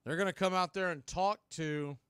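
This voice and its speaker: A man with a high-pitched voice calls a baseball game as a radio play-by-play announcer. The sound is dry and close, with no room echo. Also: steady tone, moderate pace, fairly bright tone, clean tone. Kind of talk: live sports radio play-by-play commentary.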